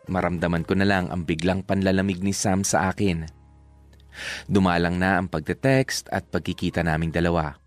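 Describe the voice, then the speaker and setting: A man's voice: sits low, close to an everyday voice. A man with a Filipino accent playing a reflective, sorrowful lover, narrating his failing romance from a script. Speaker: story narrator. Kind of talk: scripted first-person narration. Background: background music.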